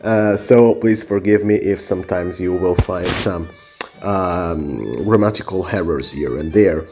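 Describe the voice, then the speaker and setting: A man's voice: low voice, measured pace, background noise. Italian-accented man talking with many fillers. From Italy. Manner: hesitant, many fillers and restarts. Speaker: podcast host. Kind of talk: unscripted solo podcast talk. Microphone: cell phone.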